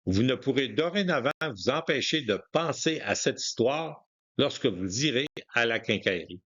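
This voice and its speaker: Guy narrating a history documentary from a script, medium pitch, kinda muffled lo-fi audio. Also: a bit bright.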